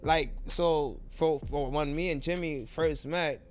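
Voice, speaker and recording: A young man from Trinidad with an American accent, chatting relaxed as a podcast guest and restarting his sentences as he thinks. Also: fairly high pitch, takes it slowly, slight background noise. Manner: relaxed, repeats words and restarts while thinking. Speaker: guest musician. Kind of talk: casual podcast chat.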